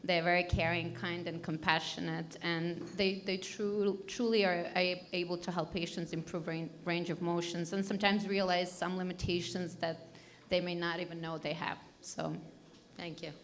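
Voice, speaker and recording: A woman doctor making a halting comment from the audience at an event. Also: fairly low pitch, level tone, a little hiss or hum. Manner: halting, with restarts and fillers.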